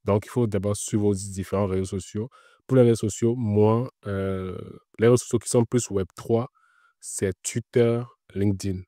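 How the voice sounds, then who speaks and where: A man's voice: fairly low pitch, fairly even intonation, moderate pace, mellow, no echo, studio quality. A man being interviewed, giving casual career advice in an explanatory way, repeating his points with frequent filler words. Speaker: interview guest working in Web3.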